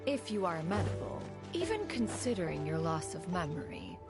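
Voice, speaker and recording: A woman voicing a proud, aloof crane immortal in a video game, speaking in a formal, measured and haughty way with a tender streak. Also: fairly low pitch, very animated. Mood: haughty, reproving. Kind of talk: video game story dialogue. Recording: game music behind the voice.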